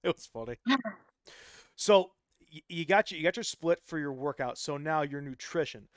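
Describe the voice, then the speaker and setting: A man hosting a casual interview, chatting quickly, often restarting words and answering with "right" and "yeah". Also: on the high side, no room sound. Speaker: interview host.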